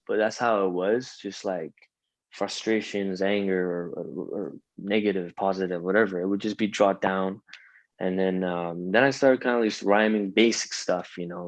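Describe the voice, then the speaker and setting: A young man from Iran talks loosely in an interview, his coloring the English he learned after Farsi. His voice sits fairly low and rambles through fillers, restarts and "you know"s. The sound is close and dry, with no room around it. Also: no echo, low-quality recording. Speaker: rapper guest. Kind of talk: casual interview chat.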